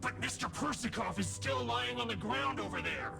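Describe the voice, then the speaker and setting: A man's voice: extremely high pitch, animated, normal speed, vocal fry, music in the background, exaggerated voice. A man playing an easily alarmed camp dweller in a video game, frantic and stammering. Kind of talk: video game cutscene acting.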